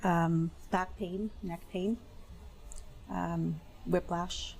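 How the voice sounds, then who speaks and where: A woman's voice: on the low side, fairly even intonation, very slow, gravelly, darkish tone, heavily acted, low-quality recording. A woman playing a plain-spoken accident claimant, answering questions as a witness in careful, understated, slightly hesitant statements. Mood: careful, subdued. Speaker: witness in a dramatized discovery. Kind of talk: testimony, short factual answers.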